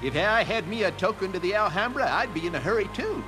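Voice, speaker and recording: A wily American frontier swindler, high-voiced and very animated. He is chatty and folksy, a western game character with music playing behind him. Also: fast, rough recording. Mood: folksy, sly. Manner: folksy, chatty, drawn to exclamations. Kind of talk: video game western acting.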